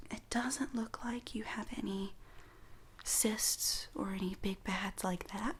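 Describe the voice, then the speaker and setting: A woman speaking in a soft, breathy near-whisper, gently reassuring as she plays a dermatologist in an ASMR roleplay, explaining each step. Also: mid-range voice, slight background noise. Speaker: ASMR performer playing a dermatologist.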